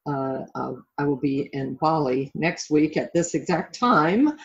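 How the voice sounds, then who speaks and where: Middle-aged American woman, husky voice, reflective interview guest, slightly dull lo-fi recording. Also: low voice, animated, measured pace. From southern United States (Florida). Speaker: interview guest, life coach. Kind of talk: reflective interview talk about own life.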